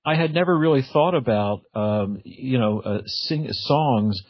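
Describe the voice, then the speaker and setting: A man's voice: medium pitch, lively intonation, a little slow, slightly rough, dry recording. A man hosting a radio show, chatting casually and hesitantly as he searches for words. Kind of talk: casual interview chat. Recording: dull, lo-fi, dry radio audio.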